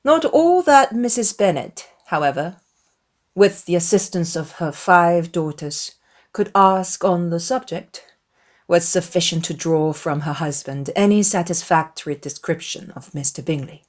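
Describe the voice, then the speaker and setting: A woman reading a dramatized literary audiobook in an expressive, measured storytelling manner. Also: lowish voice. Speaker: audiobook narrator.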